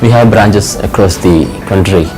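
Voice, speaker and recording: A man in his thirties speaks in a low, measured voice during an office interview testimonial. He often drops in "you know". Music plays clearly behind him. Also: low-quality recording. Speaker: financial controller. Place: office indoors.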